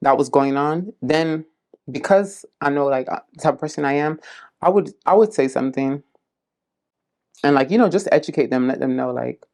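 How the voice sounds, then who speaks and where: A woman's voice: sits low, a little mellow. Earnest woman answering interview questions. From South Florida, US. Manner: unhurried, many "um"s and "like"s, earnest. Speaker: community case manager. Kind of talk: casual interview answers to prompts.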